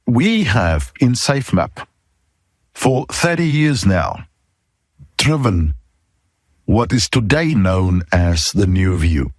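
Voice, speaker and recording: A middle-aged or older man with a South African accent, hosting an informal podcast talk in a candid, emphatic way, pausing between short phrases. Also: fairly low pitch, breathy edge, dry recording, clean studio sound. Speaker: podcast host, safety consultant.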